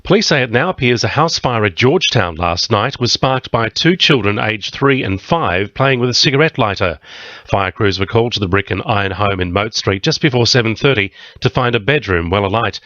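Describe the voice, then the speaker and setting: A man's voice: fairly low pitch, expressive, brisk, pushed and bright, no echo. An Australian man reading a scripted radio news bulletin as the newsreader. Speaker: news reader. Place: dry radio studio. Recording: slightly muffled, dull radio audio.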